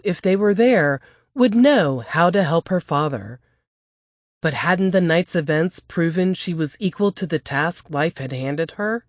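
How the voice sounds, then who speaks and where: A woman reading aloud from her novel in a steady, measured narrating voice. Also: fairly low pitch, dry recording, lo-fi sound. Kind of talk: audiobook-style novel reading.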